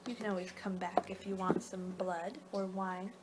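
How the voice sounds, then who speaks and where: A woman performing a soft-spoken, partly whispered ASMR roleplay as a casual vampire, rambling and halting as she goes. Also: fairly low pitch, flat delivery, very noisy. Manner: rambling, halting, losing her train of thought. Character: young-for-her-kind female vampire guiding a client, casual, a little exasperated.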